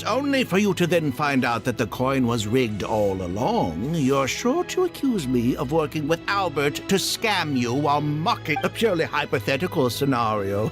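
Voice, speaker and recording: Casting: wordy middle-aged game character, avuncular and placating, very animated pitch on the higher side. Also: male voice. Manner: wordy, cautious, avuncular lecturing. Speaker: voice actor in a game. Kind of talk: acted video game dialogue.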